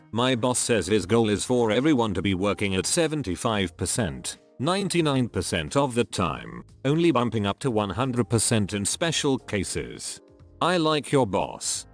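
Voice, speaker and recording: A man narrating a series of online posts in a steady read-aloud style. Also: on the low side. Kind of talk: scripted narration.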